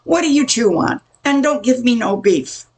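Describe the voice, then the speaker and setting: A woman's voice: a little high, animated, moderate pace, darkish tone, husky. An older woman acting a blunt, folksy, exasperated diner waitress in a comic radio play. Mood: fed up. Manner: folksy, weary, curt.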